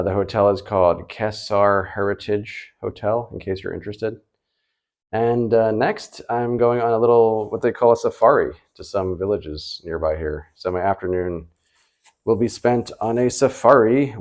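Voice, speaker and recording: Low-pitched man, halting casual vlogger. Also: darkish tone. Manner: hesitant, halting, rambling delivery with trailing repeats. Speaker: travel vlog host. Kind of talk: casual unscripted vlog chat.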